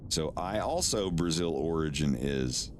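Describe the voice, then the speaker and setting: A man streaming live in casual chat, relaxed, often stammering and repeating words and swearing now and then. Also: a bass voice, unhurried, a little hiss or hum. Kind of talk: casual live-stream chat while doing language lessons.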